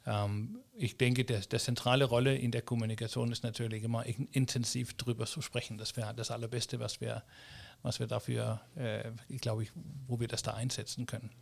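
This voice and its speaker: Man, gravelly, podcast host, casual interview, halting with restarts. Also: medium pitch, flat delivery. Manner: halting, many restarts and fillers.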